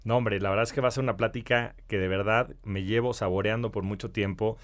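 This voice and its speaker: A man's voice: lowish voice, fairly even intonation, fairly quick. A man hosting a podcast, upbeat in his introductions and admiring toward his guest. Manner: upbeat promo, then warm admiring welcome. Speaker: podcast host. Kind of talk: enthusiastic podcast intro and interview.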